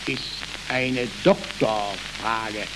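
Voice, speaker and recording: Man playing weary old general, sceptical, fatherly, very slow stage reading, crackly old archive recording. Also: fairly low pitch, voice pushed down. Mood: hesitant, grave. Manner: measured, weighty, hesitant. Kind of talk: dramatic reading of a stage play.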